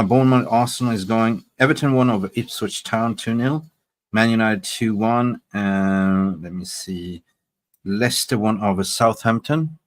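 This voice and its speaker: Low-voiced man from Sweden hosting a football watch-along, blunt and sweary, quick to get excited. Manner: blunt and swearing, excitable when reacting to live scores. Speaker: football fan channel host. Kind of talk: casual post-match fan chat.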